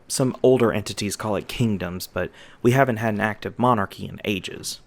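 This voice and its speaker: A man's voice: on the low side, gravelly. A man playing an easygoing, folksy magi guide, explaining patiently in an ASMR roleplay. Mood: relaxed, friendly. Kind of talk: ASMR roleplay, conversational storytelling.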